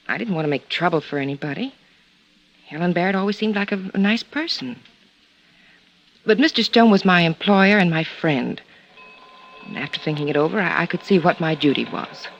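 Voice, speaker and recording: A woman's voice: sits low, expressive, normal speed, pauses often, highs cut off. A woman acting a guarded, polite American secretary in a 1950s radio drama, hesitant and carefully proper, on an old recording. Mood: hesitant, earnest. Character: guarded, polite female secretary giving a statement.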